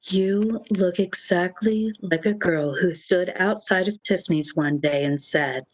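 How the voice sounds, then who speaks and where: A woman's voice: on the low side, unhurried. A woman playing a devoted, reassuring lover in a radio romance, speaking soothingly and affectionately on a dull lo-fi microphone. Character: devoted, tender, reassuring woman, long-lost lover returned. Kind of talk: old-time radio romance acting.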